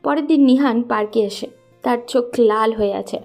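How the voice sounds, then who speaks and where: A woman's voice: a little high, moderate pace, vocal fry, darkish tone, faint music behind. A woman narrator reading a scripted love story.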